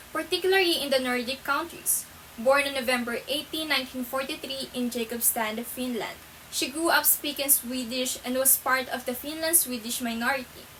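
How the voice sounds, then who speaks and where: A woman's voice: high-pitched, moderate pace, sharp tone, rough recording. A young woman giving a scripted class presentation as a student group leader, with noise on the recording. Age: young adult.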